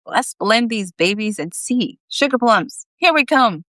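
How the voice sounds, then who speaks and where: A woman's voice: on the high side, animated, unhurried, a bit bright, dry recording, voice pushed up. A woman voicing a flustered, determined male cook in a story, exclaiming and talking to himself.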